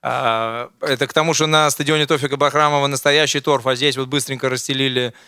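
A man's voice: mid-range voice, fairly even intonation, quick pace, sharp tone, smooth, dry recording. A man hosting a casual football chat, rambling and teasing, with many hesitations. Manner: rambling, teasing, full of fillers. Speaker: host.

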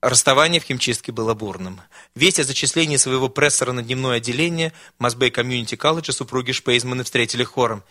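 Bright-voiced man reading a comic story. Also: medium pitch, quick pace, no echo, clean studio sound. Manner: wry, ironic storytelling. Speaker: narrator and radio host. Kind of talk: reading a humorous story aloud.

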